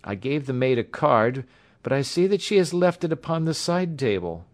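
A male reader plays a young engineer from a classic story, pitched high and very animated. He is courteous but badly shaken. His breathless, excitable speech sounds strained by nerves. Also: normal speed, lightly acted. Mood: apologetic, strained. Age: mid-twenties. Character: pale, agitated young male engineer, wounded, hysterical, polite.

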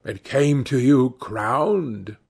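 A man voicing a languid, witty, cynical aristocratic gentleman in an audiobook, with drawling, epigrammatic speech. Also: mid-range voice, drawn-out words, gravelly, husky, exaggerated voice. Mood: languid, teasing.

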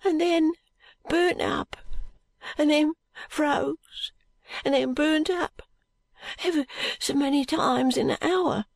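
A woman performs a feverish, stubborn street boy from London for an audiobook. The voice sits high and hoarse, muttering in a slow drawl. It sounds frightened and poorly, and very dry and close. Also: no room sound, full character voice. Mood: feverish, suffering. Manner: slow, drawling uneducated dialect. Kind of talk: audiobook character voice.

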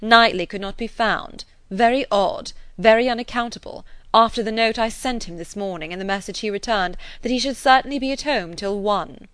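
A woman's voice: fairly high pitch, moderate pace, few pauses, fairly bright tone, clear, resonant tone, no room sound, pitched above the performer's own voice, natural voice. A woman voicing a fussy young clergyman who complains in an aggrieved, self-pitying tone.

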